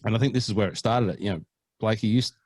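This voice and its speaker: A man co-hosting a golf podcast, chatting casually in a lowish voice. He is playful and mock-earnest and hams up foreign pronunciations. The sound is dry and close. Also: fast, a little mellow, no echo. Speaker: podcast co-host. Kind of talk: casual chat.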